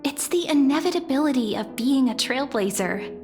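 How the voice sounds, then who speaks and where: An actress playing a gentle, hopeful young woman, a devoted companion in a video game who speaks earnestly and encouragingly with a light teasing touch. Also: high voice, expressive, normal speed, over music. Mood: earnest, reassuring. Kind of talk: scripted video game dialogue.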